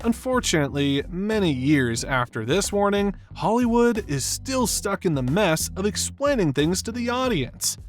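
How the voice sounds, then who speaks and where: A man's voice: high-pitched, very animated, normal speed, few pauses, faint music behind. A man delivering scripted narration as the narrator of a video essay.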